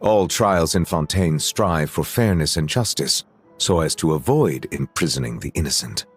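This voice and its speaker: A man's voice: extremely low pitch, expressive, normal speed, husky, soft background music, exaggerated voice. A man voicing a dignified, courteous dragon judge in a video game, speaking formally and with awkward hesitation. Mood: earnest, measured.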